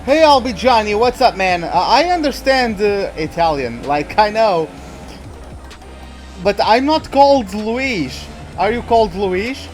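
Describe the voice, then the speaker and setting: A man's voice: high-pitched, lively intonation, a little slow, soft background music. A young man whose first language is Portuguese, rambling casually in English while playing a racing game, with many fillers and restarts. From Portuguese-speaking country. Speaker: gaming YouTuber. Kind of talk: casual let's-play commentary.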